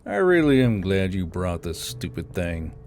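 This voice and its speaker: A man playing a cool, unflappable gang leader who speaks in a slow, low drawl with quiet menace. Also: very animated, a little hiss or hum, full character voice.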